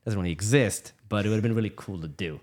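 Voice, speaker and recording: Middle-aged american guy, doctor on a podcast, talks fast, lowish voice, lots of restarts. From United States. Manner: fast, animated storytelling with many restarts. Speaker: guest physician. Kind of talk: casual conversational interview.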